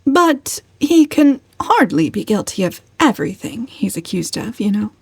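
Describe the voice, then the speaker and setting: A woman's voice: medium pitch, sing-song, normal speed, gravelly, husky, dry recording, voice pushed up, heavily acted. A catty young woman from a storybook family, acted by a female reader. She needles with a haughty, faintly malicious air. The voice sits close in a dry recording. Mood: needling, catty.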